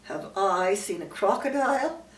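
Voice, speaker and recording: A woman's voice: mid-range voice, expressive, a little slow, close to an everyday voice. Scornful rock python from a storybook, read by an older woman; disdainful, echoey, distant mic. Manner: dreadfully scornful. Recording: roomy, distant mic, faint background noise.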